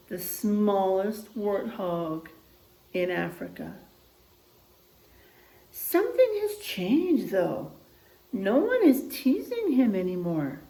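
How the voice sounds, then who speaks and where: A woman reading a picture book aloud in an expressive, child-directed way, with asides and questions to young listeners. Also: mid-range voice, roomy sound, low-quality recording.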